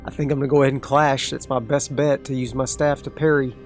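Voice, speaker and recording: A man playing a solo tabletop game aloud, reading rolls and rules and reacting with excited asides. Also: medium pitch, quick pace, faint music behind. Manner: talks through dice rolls, excitable asides. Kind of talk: solo actual play, thinking aloud.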